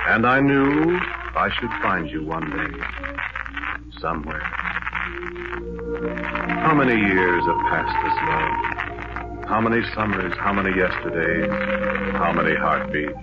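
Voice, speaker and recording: An actor playing a lonely man who has searched for love all his life, narrating softly and poetically in 1940s radio style. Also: deep voice. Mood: yearning. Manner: soft, wistful, poetic narration. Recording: muffled hissy 1940s radio broadcast.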